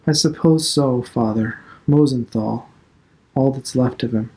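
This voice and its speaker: A man's voice: medium pitch, measured pace, dark tone, natural voice, low-quality recording. A man reading the part of a meek, crestfallen grown son who makes weak, hesitant excuses. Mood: cautious.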